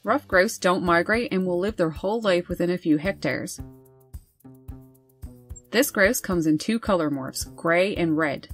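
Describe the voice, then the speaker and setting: Woman, nature documentary voice-over, lowish pitch, measured reading, faint background music. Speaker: birding channel host. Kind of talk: scripted nature documentary narration. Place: voice-over over wildlife footage.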